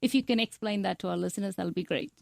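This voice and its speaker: A woman's voice: medium pitch, fast, gravelly, no room sound, clean studio sound. Woman doctor hosting a health radio show. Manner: scripted intro, asks careful questions. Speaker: host, physician. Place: radio studio. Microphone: studio boom mic.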